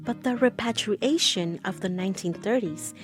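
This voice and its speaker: A woman's voice: medium pitch, moderate pace, loud music behind the voice. A woman from the United States narrating a documentary about belonging, in an earnest, reflective way.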